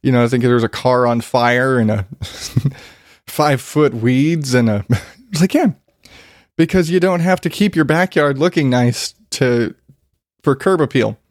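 A man's voice: mid-range voice, unhurried, dry recording. Congested man ranting in a dry room. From Arizona, US. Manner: profane, rambling, self-deprecating. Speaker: vlogger. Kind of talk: casual rant.

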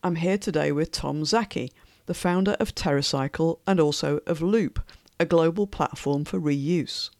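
A woman hosting a podcast, reading a scripted intro with an upbeat, measured delivery, then opening an interview. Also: deep voice, darkish tone, dry recording, clean studio sound. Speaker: podcast host.

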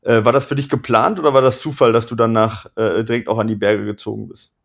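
A man's voice: on the low side, steady tone, quick pace, gravelly. Man, German host, chatty, leading questions, casual interview.

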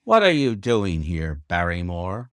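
A man's voice: deep voice, very animated, measured pace, clean tone, no room sound, clean studio sound. A man voicing a stern male baronet who questions his servant firmly and directly. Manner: stern, commanding.

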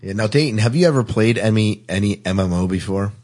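A man hosting a casual panel chat on a podcast, with a husky voice. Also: fairly low pitch, quick pace, a little mellow. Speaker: podcast host.

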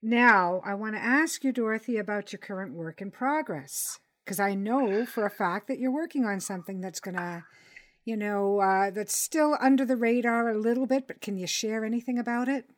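A woman's voice: medium pitch, normal speed. A woman hosting a conversational literary interview podcast, with an encouraging, affirming manner.

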